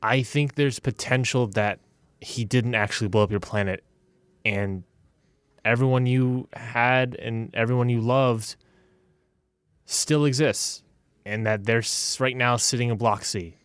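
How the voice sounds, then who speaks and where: A man playing a conflicted adventurer who trusts his gut, speaking haltingly with stammers in a tabletop game. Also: medium pitch, clear, resonant tone, dry recording, lightly acted. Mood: conflicted, pleading. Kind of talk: improvised tabletop roleplay.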